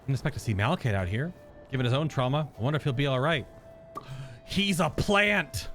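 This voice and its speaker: A man's voice: medium pitch, animated, fairly quick, a bit bright. A man giving casual live reaction commentary as a game streamer, rambling and thinking aloud. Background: game music.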